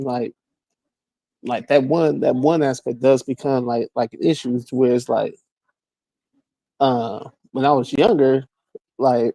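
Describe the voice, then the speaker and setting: Man, mid-forties, gravelly mellow voice, halting casual talk, dry close sound. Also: mid-range voice, no echo, poor audio. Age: 40s. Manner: halting, many "like" and repeated words. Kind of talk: casual chat.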